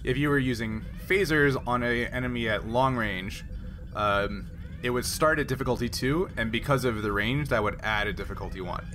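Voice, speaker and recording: A man's voice: mid-range voice, moderate pace, pushed and bright. A man running a tabletop game as game master, casually explaining rules in a hesitant, step-by-step way. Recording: noisy background ambience.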